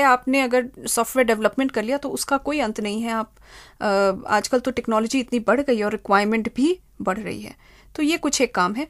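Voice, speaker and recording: A woman's voice: sits high, no echo, studio quality. A woman giving explanatory interview answers as a consultant, spelling out terms with examples. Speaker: human resource consultant, interview guest.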